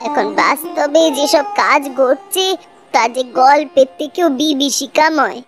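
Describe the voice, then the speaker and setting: A tired, affectionate old cartoon grandmother, acted by a woman. She talks in a slow, world-weary manner. Faint music plays behind her. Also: sits high. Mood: gloomy. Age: older. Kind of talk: cartoon dialogue. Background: background music.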